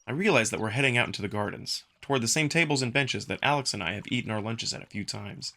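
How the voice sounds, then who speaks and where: A man's voice: medium pitch, quick pace, creaky, pushed and bright. A man reading scripted first-person narration for a visual novel as the narrator.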